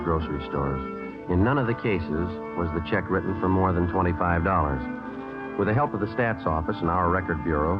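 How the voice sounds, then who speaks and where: Low-voiced, deadpan American police detective, acted by a man. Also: lightly acted. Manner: clipped, deadpan. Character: terse, weary, methodical male police detective. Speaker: lead actor and narrator. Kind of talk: 1950s radio crime drama acting. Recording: dull old radio recording with loud music.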